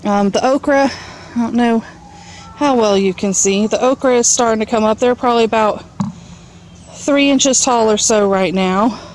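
A woman's voice: on the high side, unhurried, darkish tone. A woman giving a casual, off-the-cuff tour of her garden as a home gardener, talking outdoors in a backyard into a handheld camera. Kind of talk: casual off-the-cuff vlog narration. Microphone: handheld camera mic, held by speaker. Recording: clear outdoor ambient noise, handheld camera.

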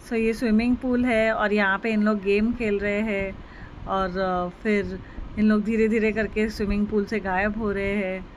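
Slow-talking woman vlogger. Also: mid-range voice, a bit bright, slight background noise, low-quality recording. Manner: chatty, mixes English phrases into Hindi commentary; slow, unhurried narration. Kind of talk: casual vlog narration. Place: outdoors at a villa and lakeside.

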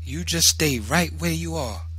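Man voicing a stern mother, raised commanding pitch. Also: animated, normal speed, pushed and bright, husky, dry recording, full character voice. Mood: deadly stern. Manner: commanding, deadly firm, Southern colloquial phrasing. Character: stern, unyielding mother of a young boy. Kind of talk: dramatized audiobook narration.

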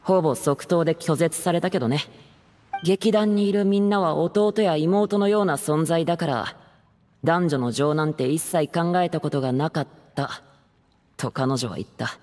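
An actor playing an earnest, heartbroken young stage playwright in a Japanese game, speaking in a measured, confessional way. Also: male voice, a little high, darkish tone, big-room sound, slight background noise, close to an everyday voice. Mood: wistful.

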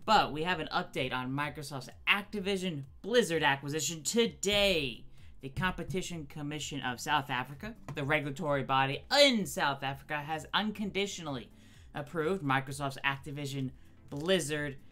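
Thirties male streamer with a raspy, nasal voice from allergies; bright tone, chatty news read at home, faint music under. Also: fairly high pitch, moderate pace. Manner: chatty, many ums, reads quotes from screen. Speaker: gaming news host. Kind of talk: casual news commentary.

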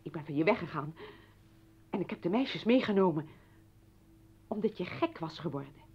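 A woman's voice: on the low side, lively intonation, normal speed, slightly rough. An actress in a radio play as a defiant, indignant mother confronting her estranged husband with biting, accusing sarcasm. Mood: defiant, scathing. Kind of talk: dramatic radio play acting. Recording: dull lo-fi old radio recording.

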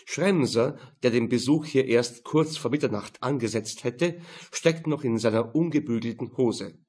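A man's voice: medium pitch, moderate pace, darkish tone, slightly rough. A man reading a crime novel as scripted narration. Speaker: audiobook narrator.

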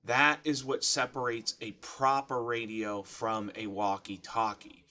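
A man's voice: mid-range voice, little pitch movement, measured pace, sharp tone. A man in his thirties with an American accent, giving a casual, plain-spoken explanation to camera as the host of a how-to channel. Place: small furnished room at home, indoors.

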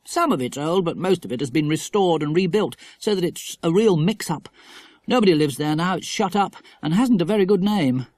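A woman voicing a sensible, practical mother in a storybook, explaining matter-of-factly and gently firm. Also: fairly low pitch, lively intonation, brisk, dry recording, pitched above the performer's own voice.

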